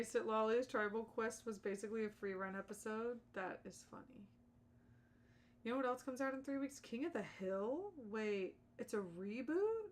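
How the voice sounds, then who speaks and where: A woman livestreaming games in casual, slangy chat, chatty and opinionated as she talks to viewers. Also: medium pitch. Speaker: streamer, content creator.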